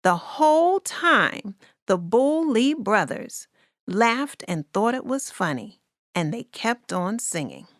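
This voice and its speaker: Female storybook narrator, slow and expressive, deliberate rhyming read, dry close sound. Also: fairly high pitch, dry recording. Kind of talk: scripted children's story narration.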